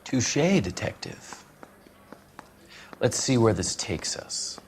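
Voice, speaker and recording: A man's voice: mid-range voice, big pitch swings, takes it slowly, a little hiss or hum. An American man voicing a smug, evasive, cynical writer under police questioning in a crime game, with a sardonic, articulate manner. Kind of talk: video game voice acting, 1940s crime drama.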